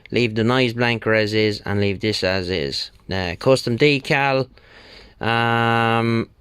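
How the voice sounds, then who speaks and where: An Irish man talking casually through a repair job, thinking aloud in an unhurried, colloquial way. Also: medium pitch, clear, resonant tone, no room sound. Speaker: radio repair technician. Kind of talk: casual spoken bench walkthrough. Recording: steady background noise, bench clicks.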